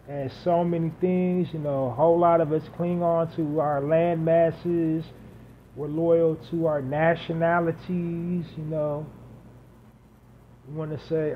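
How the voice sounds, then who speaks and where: A man hosting a solo podcast, talking unscripted and building points through emphatic repetition and lists. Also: fairly high pitch. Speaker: podcast host. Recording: dull lo-fi audio with faint hiss.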